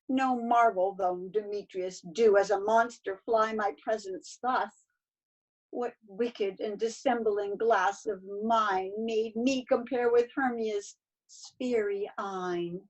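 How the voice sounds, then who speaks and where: Woman acting lovelorn young lady, plaintive, high voice, slow pace, theatrical verse, stage play reading. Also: low-quality recording. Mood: breathless, self-pitying. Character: lovelorn young Athenian woman, desperate and self-pitying. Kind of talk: stage verse read aloud at a table read. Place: at home on a video call. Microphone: video call.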